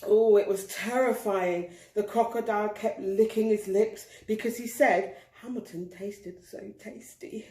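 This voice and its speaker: A woman reading a picture book aloud to children, expressive and deliberate, drawing out words for emphasis. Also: mid-range voice. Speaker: storyteller. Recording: roomy, echoing indoor sound.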